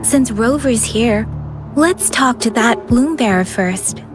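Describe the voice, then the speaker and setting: A woman voicing a gentle, earnest young ranger in a video game, sincere and dutiful. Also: a little high, lively intonation, takes it slowly, music in the background. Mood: calm, considerate. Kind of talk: video game character dialogue.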